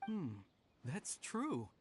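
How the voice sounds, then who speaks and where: A nervous young guard from a video game, earnest and flustered. His pitch jumps about in a lively way and his delivery is breathless. Noise is audible behind him. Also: drawn-out words, heavily acted. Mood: surprised, deferential. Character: anxious, earnest young male guard retainer. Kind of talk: scripted video game voice acting.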